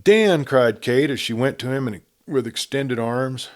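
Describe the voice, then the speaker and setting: A man narrating a Western novel in a measured, quiet reading style. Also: medium pitch, flat delivery. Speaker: storyteller. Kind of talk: scripted story narration.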